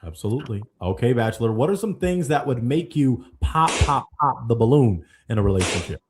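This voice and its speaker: A man hosting a live dating show, playful and quick to address the guests with formal pet names. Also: medium pitch, a little hiss or hum. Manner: playful, animated, addresses guests as kind sir. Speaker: host.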